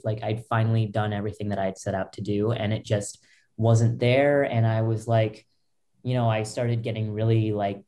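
A young woman with a low voice, a podcast guest telling her own story in loose talk full of fillers and restarts, over a video call from a small bedroom. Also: mellow. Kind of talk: candid personal story. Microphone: laptop webcam, video call.

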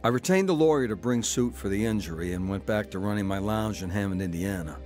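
A man's voice: on the low side, animated, fast talker, over music, lightly acted. An actor playing a troubled man, earnest and reflective, in a scripted first-person radio drama. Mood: reflective, grave. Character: earnest, troubled man recounting his own past.

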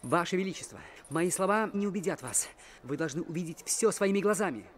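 A man playing an earnest, kind-hearted young hero in an animated film, urgent and respectful, over music. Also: high voice, fairly quick, slight background noise. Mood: earnest, pleading. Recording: loud music behind.

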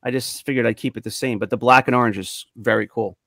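A man in his thirties chats casually as he shows off items to friends. His voice has a middle pitch and sounds close and dry, as on a studio mic in a small room. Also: fairly even intonation, fast, creaky, a bit bright, dry recording. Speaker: panelist showing collectibles. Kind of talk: casual show-and-tell chat. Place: small room of collectibles at home, video call. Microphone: close studio mic on arm, headphones.

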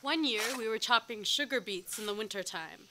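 A young actress playing a heartfelt farmworker daughter recalling hardship in a dramatized monologue. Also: female voice, a little high, moderate pace, background noise, close to an everyday voice. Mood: pained. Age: young adult. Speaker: actor performing a monologue. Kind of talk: dramatized oral history monologue.

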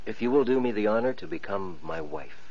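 A gallant husky-voiced prince. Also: male voice, lowish voice, expressive, brisk, darkish tone. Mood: courtly. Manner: courtly and commanding. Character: gallant commanding young prince. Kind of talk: 1940s radio acting. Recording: muffled old radio broadcast.

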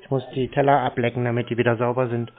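A man's voice: mid-range voice, little pitch movement, very fast, a crowd in the background. A man chatting casually on prank phone calls, answering tersely and playing dumb. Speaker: YouTuber taking scam calls. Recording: dull, muffled phone call audio.

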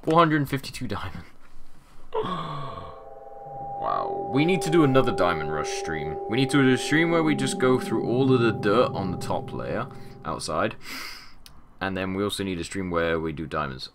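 A young man with a deep voice and a sore throat, chatting casually over gameplay in a rambling way that often trails off into mumbles. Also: very animated, normal speed, vocal fry. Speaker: gaming channel host. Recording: audible background noise and keyboard clicks.

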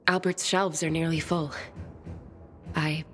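An actress playing a pensive, sensitive young bookish survivor in a video game, speaking in measured, formal phrases. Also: female voice, low-pitched, little pitch movement, soft background music. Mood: probing, reserved. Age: young adult. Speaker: game character. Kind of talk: video game cutscene dialogue.

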